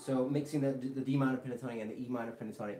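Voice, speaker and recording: A man with a mix of British and American accent, teaching guitar on a live stream and chatting with viewers in a relaxed, rambling way full of fillers. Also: mid-range voice, very fast. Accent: British English mixed with American. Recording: roomy echo, faint guitar behind.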